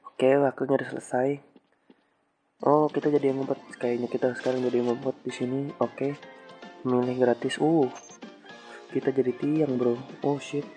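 Monotone, congested young gamer guy. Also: mid-range voice. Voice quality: stuffy, congested nose. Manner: slangy, frequent English exclamations. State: has a cold. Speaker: gaming channel host. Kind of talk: casual game commentary.

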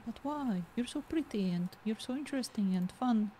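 A woman improvising in-character conversation in an online game, halting as she searches for words. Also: on the high side, expressive, warm tone, slight background noise.

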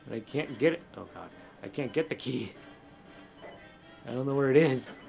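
A gamer with a mid-range, crackly voice talks casually through play. They mutter to themselves and swear, with clear game music running behind. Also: mid-range voice, animated, normal speed, darkish tone. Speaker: gamer on a let's play show. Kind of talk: casual gameplay chat. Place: indoors, room with a TV screen. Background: video game music.

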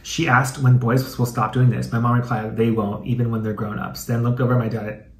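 A man's voice: medium pitch, flat delivery, fairly quick. Sassy man in an echoey room. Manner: sassy, campy, slangy and swearing. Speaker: YouTube host. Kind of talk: casual comedic dramatic reading with commentary.

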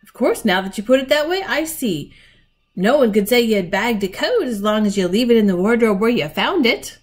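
Give the part of a storybook schoolboy leader to a woman reading aloud. He is an eager, decisive older brother who exclaims in old-fashioned turns of phrase. The pitch is lifted and leaps about with great animation. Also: lively intonation, fairly quick. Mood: brisk, agreeable.

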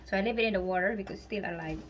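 A woman's voice: medium pitch, racing, very noisy. A woman in her thirties with a Filipino accent, vlogging casually on a phone camera and exclaiming often. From Philippines. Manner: excitable, exclaiming and laughing often. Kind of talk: casual vlog narration. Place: beach outdoors, later home kitchen.

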